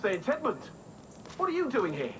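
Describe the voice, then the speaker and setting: Game role: deferential wary captain, animated pitch, halting respectful delivery. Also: male voice, slight background noise, heavily acted. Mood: puzzled, deferential. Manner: hesitant, respectful. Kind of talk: video game character acting.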